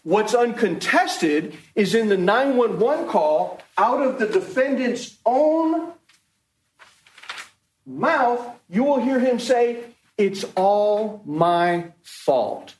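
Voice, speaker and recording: A man's voice: high-pitched, animated, drawn-out words. A man prosecutor giving a closing argument in court, emphatic and repetitive as he accuses the defendant. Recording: roomy courtroom sound.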